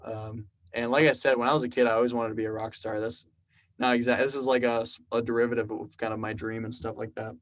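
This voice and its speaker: Relaxed young Texan man, rambling. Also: mid-range voice, fast talker. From South Texas. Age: 20s. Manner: relaxed, rambling storyteller with many fillers. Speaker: podcast guest, programmer and musician. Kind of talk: casual conversational interview.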